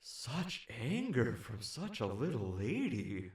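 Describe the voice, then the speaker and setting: Casting: male actor as snide goth game show contestant, sarcastic and unhurried, roomy echo. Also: mid-range voice, huge pitch swings, darkish tone, far from the mic. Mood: sneering, sarcastic. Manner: sarcastic, sneering. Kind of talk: comedy audio drama acting. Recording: echoey room, off the mic.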